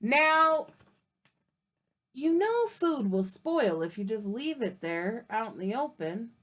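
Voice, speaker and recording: Woman reading a self-pitying wolf who claims he was framed, a touch high-voiced. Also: sing-song, takes it slowly, a bit bright, exaggerated voice. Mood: indignant. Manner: indignant, mock-innocent storytelling. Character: wolf, male, grumbling sly self-pitying narrator.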